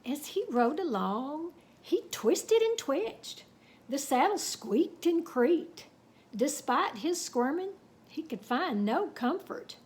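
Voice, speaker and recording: Children's story reader: woman, high-pitched, very lively and folksy. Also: slow. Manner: animated, folksy, dramatic. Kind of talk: expressive storybook read-aloud with folksy asides.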